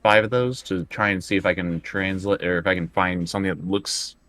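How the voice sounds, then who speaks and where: A man's voice: deep voice, fairly even intonation, a little fast, fairly bright tone, no room sound. A man chatting casually as a tabletop roleplaying player, thinking aloud and working through his choices bit by bit.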